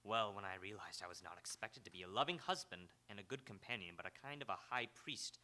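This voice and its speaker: Man acting a bright-voiced, low, sardonic ex-husband. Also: fast talker. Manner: dry, taunting, emphatic. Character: sardonic, needling, suave ex-husband, reformed drinker. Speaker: stage radio actor. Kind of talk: live radio theatre acting. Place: live on an auditorium stage.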